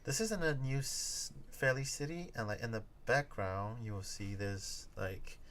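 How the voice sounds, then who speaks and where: A man's voice: mid-range voice, normal speed, a bit bright, a little hiss or hum. A man reacting to game footage in casual chat, hesitant, with many fillers and restarts.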